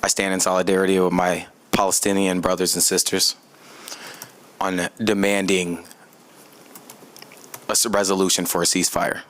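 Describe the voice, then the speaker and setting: A man's voice: low voice, little pitch movement, moderate pace, pauses often, a bit bright. An American man giving an impassioned public comment as an activist, speaking emphatically and repeating phrases for weight. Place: city commission meeting room.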